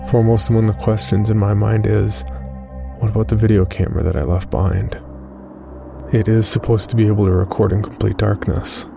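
A man plays an obsessed amateur caver narrating his horror journal. The voice is deep and gravelly, with a solemn, brooding delivery over clear background music. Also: fairly even intonation, brisk. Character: Ted, driven haunted amateur caver writing a journal. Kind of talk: dramatic first-person horror narration. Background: music under the voice.

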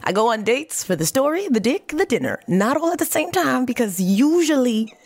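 A woman guest on a podcast telling stories in a sassy, animated way. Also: sits high, normal speed, no echo. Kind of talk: casual podcast chat.

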